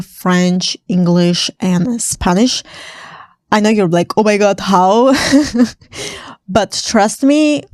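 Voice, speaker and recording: A young woman from Armenia, a native Armenian speaker, talks casually to camera in a close, dry recording. Her pitch sits mid-range, and she laughs often between loose, rambling sentences. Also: darkish tone, no room sound. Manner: chatty, laughs often, many fillers. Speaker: vlogger.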